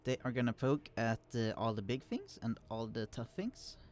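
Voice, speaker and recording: A man casually commenting on a strategy game he is playing, explaining units with many fillers and restarts, his voice kept quiet and turned down in the recording. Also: mid-range voice, very animated, moderate pace. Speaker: let's play host. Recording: quiet voice, turned down to hide computer hum.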